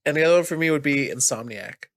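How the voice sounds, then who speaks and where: A man's voice: fairly high pitch, lively intonation, fast, fairly bright tone, no room sound, clean studio sound. A man with a nasal voice, co-hosting a podcast about video games in a casual, chatty style. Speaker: podcast host.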